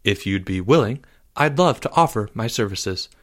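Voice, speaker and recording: A man voicing a calm, courteous schoolboy schemer in a storybook, talking in a low, confiding way. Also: moderate pace.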